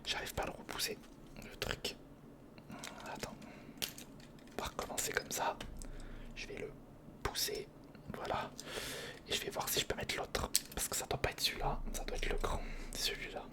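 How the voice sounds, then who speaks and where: A man's voice: normal speed, long pauses. Whispering male ASMR creator. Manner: murmured, informal running self-talk addressed to the viewer. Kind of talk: whispered ASMR, casual step-by-step commentary while building. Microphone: close recorder microphone.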